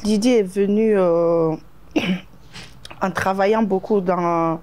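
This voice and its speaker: A woman's voice: mid-range voice, fairly even intonation, slow, a little mellow. A woman from Guinea, a beautician, answering interview questions hesitantly and searching for words. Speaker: beautician and salon owner.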